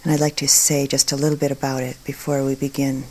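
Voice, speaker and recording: A woman teaching meditation, speaking calmly and deliberately in short phrases with long pauses between them. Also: a bass voice, a little hiss or hum, rough recording. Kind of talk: guided meditation talk.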